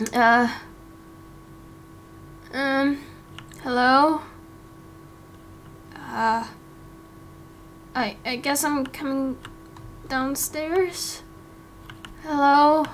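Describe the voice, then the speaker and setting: Woman as a timid anxious son, high voice, very slow and stammering, game roleplay, noisy recording. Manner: hesitant, stammering, halting. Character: timid anxious young man longing for his father. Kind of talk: amateur game roleplay acting.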